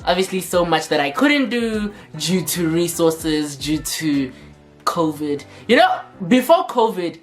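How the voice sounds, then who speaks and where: A sassy South African woman chats to her camera in a playful vlog, tossing out quick banter and sliding between languages. Her voice sits a bit low for a woman, with faint music playing behind her. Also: very animated. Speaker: YouTuber. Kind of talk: casual vlog talk to camera.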